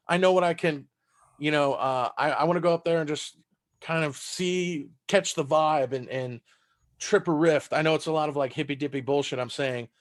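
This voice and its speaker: A man's voice: fairly high pitch, normal speed. A man in his thirties from Texas, whose first language is German, talking in a rambling, casual way as a comedian guest on a podcast, swearing freely.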